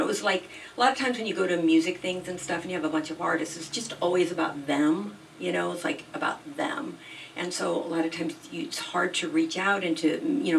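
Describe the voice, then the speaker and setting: A woman singer-songwriter chatting casually as an interview guest, telling long rambling stories with a soft, sweet voice. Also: low-pitched, gravelly. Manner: chatty, rambling storyteller with frequent ums. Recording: distant mic with faint background noise.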